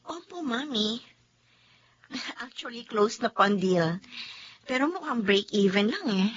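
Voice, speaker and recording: A woman's voice: on the high side, expressive, measured pace, husky. A woman playing a giggly smitten young coordinator. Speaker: Sabrina, event coordinator. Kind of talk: Tagalog radio drama acting. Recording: dull lo-fi audio with faint hiss.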